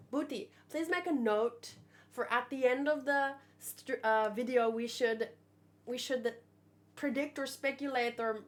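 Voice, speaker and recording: A woman hosting a manga read-along, talking in a casual, expressive, thinking-aloud way. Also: a little high. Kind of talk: casual reaction commentary with read-aloud dialogue.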